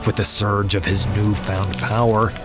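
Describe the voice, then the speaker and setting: A man's voice: fairly low pitch, level tone, a little slow. A man narrating a fantasy story as a scripted, dramatic audio drama. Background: music.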